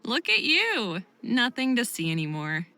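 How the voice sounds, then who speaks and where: A woman voicing a supportive, reassuring friend in a visual novel game, encouraging and gently chiding. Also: on the high side, huge pitch swings, measured pace, full character voice. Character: supportive, reassuring female friend of young skater.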